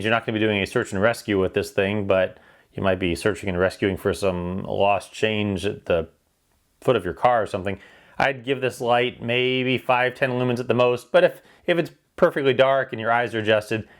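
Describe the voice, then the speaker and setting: Guy doing a casual gadget review, plain-spoken, just explaining how stuff works as he handles it. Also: medium pitch. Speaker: product reviewer. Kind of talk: casual hands-on product demonstration.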